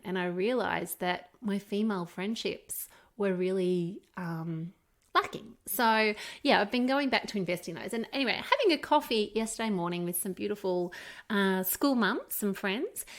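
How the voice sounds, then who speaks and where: A middle-aged woman with an Australian accent chatting casually as a podcast co-host, candid and emphatic, with an encouraging way of talking. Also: mid-range voice. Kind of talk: casual conversational podcast chat.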